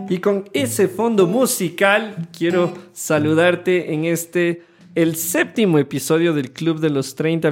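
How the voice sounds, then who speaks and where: A man in his thirties from Ecuador with an Ecuadorian accent, co-hosting a casual podcast in a studio and acting out the people he quotes. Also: fairly high pitch. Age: 30s. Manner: animated, acts out quoted people, slows to stress points. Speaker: podcast co-host. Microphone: studio microphone.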